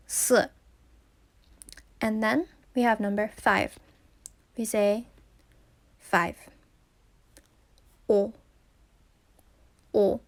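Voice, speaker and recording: A woman whose first language is English, slowly teaching number pronunciation and repeating each word carefully. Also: mid-range voice. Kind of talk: slow pronunciation lesson.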